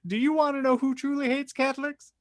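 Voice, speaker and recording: A man's voice: very high voice, level tone, quick pace, no echo, studio quality, pitched above the performer's own voice. A man voicing a sweetly earnest, self-righteous devout housewife in a prim, gushing, emphatic manner for a comedic reading. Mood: indignant, preachy. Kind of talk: theatrical reading of fan fiction notes.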